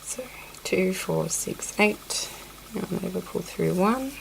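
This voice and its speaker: A woman's voice: on the low side, expressive, slow, vocal fry, slight background noise, poor audio. A woman with a cold, teaching a crochet technique over close-up shots of her hands, counting stitches under her breath and apologizing in asides. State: sniffly with a cold. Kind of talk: hands-on craft tutorial.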